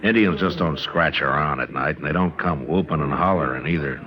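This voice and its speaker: An actor playing a steady, skeptical Old West marshal in a radio drama, unhurried and folksy with dry delivery. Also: male voice, very deep voice. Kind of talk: 1950s radio western acting. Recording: muffled old radio broadcast, crowd behind.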